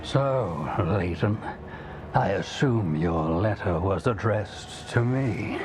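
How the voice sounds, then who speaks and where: An actor playing a smug, menacing grand master in a fantasy game, haughty and mockingly courteous in his deliberate delivery. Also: fairly low pitch. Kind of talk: dramatic fantasy game villain acting. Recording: clear music under the voice.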